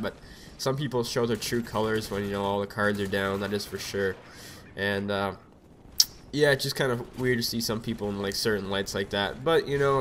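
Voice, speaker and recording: A young man with a cold, his voice a bit raspy, talking loosely over his gameplay on a desk mic at home, rambling with lots of fillers. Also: on the low side, fairly bright tone, slight background noise. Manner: rambling, filler-heavy, laid-back. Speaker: gameplay commentator. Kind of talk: casual gameplay commentary. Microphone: USB desk mic.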